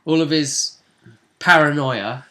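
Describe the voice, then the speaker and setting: A man with a fairly high, very bright and forceful voice. He talks as a game master retelling a tabletop adventure, casual but story-driven, often nudging his listener to agree. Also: slow pace. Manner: storytelling, seeks agreement with tag questions. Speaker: game master and show host. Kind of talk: casual recap of a tabletop role-playing session.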